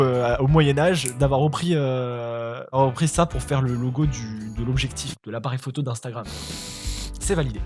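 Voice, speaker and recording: A chatty, joking man reads online articles aloud and keeps cutting in with slangy quips. His voice sits at an ordinary middle pitch. Clear music plays behind him. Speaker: YouTuber reacting to web articles. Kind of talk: casual reaction commentary, reading articles aloud.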